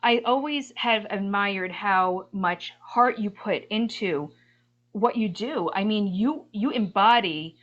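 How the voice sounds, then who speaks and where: A woman's voice: mid-range voice, slow. Woman host asking, muffled call. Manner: warm, effusive praise. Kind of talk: interview host questions. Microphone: video call.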